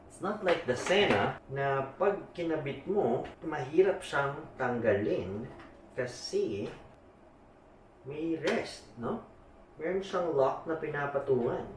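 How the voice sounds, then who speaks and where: A man's voice: on the high side, lively intonation, slow pace, background noise. A man with a Filipino accent gives a casual unboxing commentary, chatting in mixed English and Tagalog and often tagging his sentences with "no?". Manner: chatty Taglish with frequent "no?" tags. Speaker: motovlogger. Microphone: small handheld camera mic. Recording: echoey room. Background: background music.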